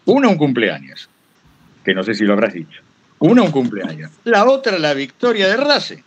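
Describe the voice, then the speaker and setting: Animated Argentine man teaching informally. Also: on the high side, normal speed, breathy edge, muffled recording. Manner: rambling and anecdotal, repeats phrases for emphasis. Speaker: workshop guest speaker, veteran radio broadcaster.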